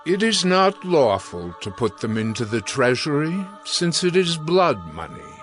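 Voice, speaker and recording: A man's voice: fairly high pitch, big pitch swings, unhurried, fairly bright tone, faint music behind. A man playing a stern, legalistic chief priest, speaking emphatically in a dramatized audio Bible.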